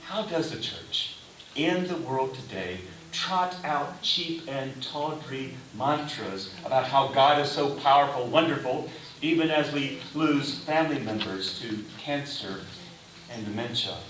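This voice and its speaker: A man preaching a sermon in a deliberate way, with rhetorical questions and emphatic short phrases. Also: medium pitch, a bit bright. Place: reverberant indoor room before a congregation. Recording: echoey room, distant mic, faint hiss.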